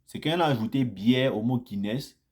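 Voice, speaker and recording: A man talking casually to viewers about his own experience, chatty and repetitive, getting animated as he tells anecdotes. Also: medium pitch, brisk. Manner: chatty, repeats phrases, grows animated in anecdotes. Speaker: vlogger sharing immigrant experience. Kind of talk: casual first-person storytelling to viewers.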